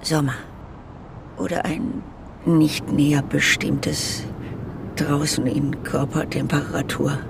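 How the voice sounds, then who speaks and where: A detached woman speaks haltingly in a radio drama monologue. Her voice has a creaky, gravelly edge and moves slowly in fragments, over a clear rumble of traffic. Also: flat delivery, full character voice. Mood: halting, unsure. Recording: clear vehicle rumble behind voice.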